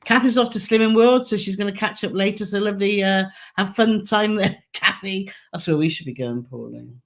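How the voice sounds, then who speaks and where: A woman with a hoarse, croaky throat co-hosting a casual live Q&A, chatty and giggly as she reads out viewer comments. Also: mid-range voice, brisk. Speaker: co-host of a travel channel live stream.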